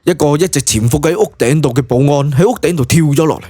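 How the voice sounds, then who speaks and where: A man's voice: on the high side, quick pace, dark tone, slightly rough, no echo, clean studio sound. A man with a Cantonese accent narrating a scripted story dramatically, as in an audiobook.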